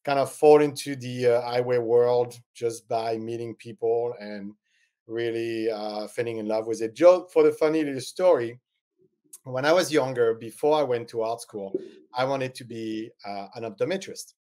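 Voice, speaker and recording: A man's voice: medium pitch, fairly even intonation, normal speed, warm tone. A middle-aged man from France with a French accent, a podcast guest talking in a rambling, hesitant way with many ums. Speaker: guest, eyewear designer. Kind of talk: casual interview chat.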